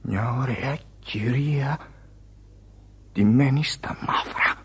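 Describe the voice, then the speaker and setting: An actor playing a dying sailor in a radio drama, weak and wistful, halting and pleading with gasps. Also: male voice, mid-range voice, slight background noise, natural voice. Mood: delirious. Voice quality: husky, gravelly. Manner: halting, pleading, breaking into whispers.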